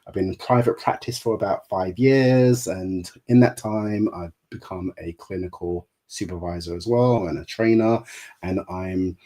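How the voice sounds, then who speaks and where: Soft-voiced stuttering man, mellow low tone. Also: measured pace. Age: middle-aged. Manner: stutters, repeating words and sounds. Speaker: guest, therapist and life coach. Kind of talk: conversational interview answers.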